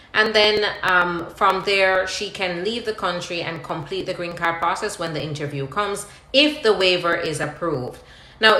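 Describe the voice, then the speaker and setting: Woman, attorney host, crisp forceful tone, roomy echo, live Q&A advice, conversational step-by-step explaining. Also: lowish voice. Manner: reads out viewer questions, then explains step by step. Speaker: immigration attorney hosting a live Q&A. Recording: roomy, echoing live-stream sound.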